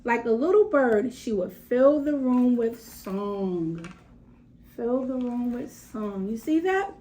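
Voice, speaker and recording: A woman with a fairly high voice reads a children's picture book aloud. Her pitch swings widely as she goes. She draws out key words and breaks off to talk straight to her young listeners. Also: takes it slowly. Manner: animated, stretches words for emphasis. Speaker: read-aloud storyteller for children.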